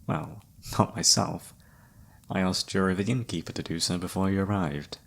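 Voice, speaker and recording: A man playing a flirty, theatrical travelling palm reader in a fantasy ASMR roleplay, teasing and dramatic with a breathy, husky voice. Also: deep voice, normal speed, a bit bright, exaggerated voice. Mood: smooth, welcoming.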